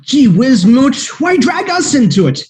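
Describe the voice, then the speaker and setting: A man playing a fretful private detective in a radio play, flustered and quick to exclaim. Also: very high voice, big pitch swings, darkish tone, exaggerated voice.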